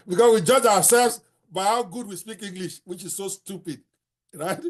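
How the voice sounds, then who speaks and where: An older Nigerian man with a Yoruba accent, teaching a book-writing class in a blunt, animated way and dropping in Yoruba phrases. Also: sits high, unhurried. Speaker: host.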